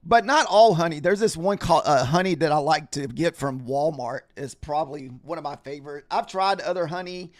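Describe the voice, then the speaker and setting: Male streamer, casual rambling chat, slightly scratchy, fairly high, dry sound. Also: normal speed, hardly any pauses, dry recording. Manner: rambling, chatty, talks to viewers in chat. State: scratchy voice, clearing throat. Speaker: trading livestream host.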